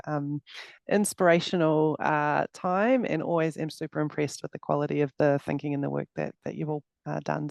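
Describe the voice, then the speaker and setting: A middle-aged New Zealand woman with a gravelly edge, speaking as a judge over a video call. Her tone is friendly and chatty and full of small fillers, and the sound is dry and close. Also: sits low, darkish tone, no echo. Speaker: competition judge, business executive. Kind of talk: casual introduction on a video call.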